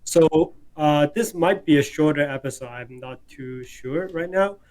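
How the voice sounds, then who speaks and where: A young man from Hong Kong, a native Cantonese speaker, co-hosting a casual podcast. He talks hesitantly with lots of fillers and restarts, in a voice a little higher than most men's, and pokes fun at himself. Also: a little slow, a little mellow. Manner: hesitant, many fillers and restarts, self-deprecating. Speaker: podcast co-host.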